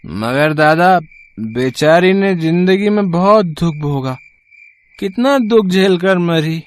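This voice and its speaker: A man's voice: high-pitched, animated. A man voicing a naive, tipsy, anxious grown son in a story reading, pious and sentimental, with a plaintive questioning manner. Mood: sorrowful. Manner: slow, plaintive, questioning delivery. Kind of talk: dramatized Hindi short-story reading.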